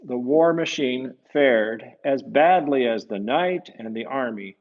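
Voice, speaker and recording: Gravelly-voiced man reading a storybook aloud. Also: medium pitch, lively intonation, measured pace, muffled recording. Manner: gentle, explanatory, asks the listeners tag questions. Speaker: priest reading a picture book to children. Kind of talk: storybook read-aloud with conversational asides.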